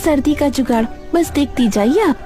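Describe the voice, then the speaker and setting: A woman voicing a calm, clever and resourceful young village daughter-in-law in a cartoon, composed and reassuring. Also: high-pitched, moderate pace, faint music behind, pitched above the performer's own voice, lightly acted. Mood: confident.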